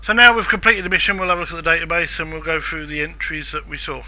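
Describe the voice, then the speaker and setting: A man's voice: fairly high pitch, fairly even intonation, fast, sharp tone, dry recording, low-quality recording. A man casually commenting on a game walkthrough, chatty and self-deprecating. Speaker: gameplay walkthrough narrator.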